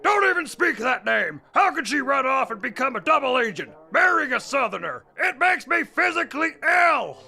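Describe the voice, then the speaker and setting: Very high, shouting trooper. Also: male voice, big pitch swings, normal speed, gravelly, hardly any pauses, full character voice. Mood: outraged. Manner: shouting, bombastic drill-sergeant delivery. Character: shrill, belligerent military trooper mascot. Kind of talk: comedic audio drama acting.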